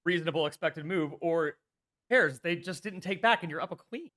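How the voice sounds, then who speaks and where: A man co-hosting a casual chess stream, a lively storyteller with a slightly raspy voice who acts out quotes and swears freely. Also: fairly high pitch, fairly quick. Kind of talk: casual chat.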